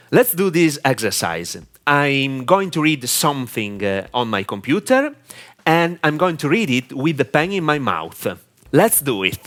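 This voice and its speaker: A man with an Italian accent, whose first language is Italian, giving a deliberate, clear instructional talk to camera with frequent "uh" fillers. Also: a little high, a bit bright. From Italy. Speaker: online marketing mentor.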